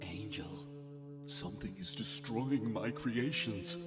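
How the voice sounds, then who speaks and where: A man's voice: lowish voice, animated, takes it slowly, pitched above the performer's own voice. A man voicing a sinister, grandiose old mad scientist in a theatrical horror radio play. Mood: anguished rage. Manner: theatrical, ranting or silkily menacing. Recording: long echoing reverb, music behind.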